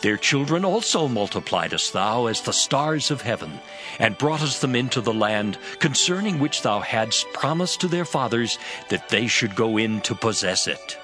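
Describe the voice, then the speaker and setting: A man's voice: medium pitch, very animated, normal speed, natural voice. A man playing a solemn Levite in a dramatized scripture reading, praying in a formal, declamatory way. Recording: studio reading under prominent music.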